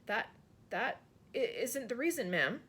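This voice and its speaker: A woman's voice: on the high side, sing-song, measured pace, a bit bright, breathy edge, exaggerated voice. A woman voicing a timid, quivering old maid. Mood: trembling. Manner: hesitant, quivering, polite. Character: older, timid, deferential royal maid.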